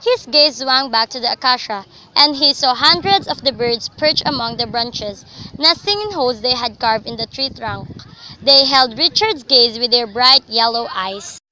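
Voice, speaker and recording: A woman's voice: on the high side, expressive, normal speed, bright, forceful tone, a little hiss or hum, rough recording. A young woman narrating a scripted story for a radio drama.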